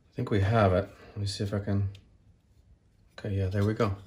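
A man narrating a hands-on repair tutorial in a casual, unscripted way, explaining step by step in a halting manner. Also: on the low side, little pitch movement, darkish tone, a little hiss or hum. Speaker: tutorial host.

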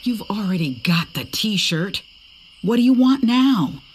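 A performer playing a weary, reluctant pirate recruit in a video game, deadpan and grudging. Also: mid-range voice, slow, slight background noise. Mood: unwelcoming. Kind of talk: video game character acting.

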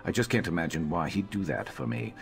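A man playing a gruff lizard-man mercenary tracker in a video game, dry, deadpan and disdainful. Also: brisk, full character voice. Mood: musing. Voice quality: very deep voice. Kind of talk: video game character dialogue. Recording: music behind the voice.

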